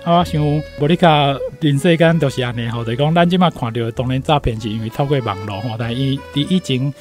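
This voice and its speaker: A man's voice: medium pitch, fast, soft background music. A man with a Taiwanese accent gives informal commentary, retelling and explaining a story with frequent tag particles at the ends of phrases. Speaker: guest commentator, university professor.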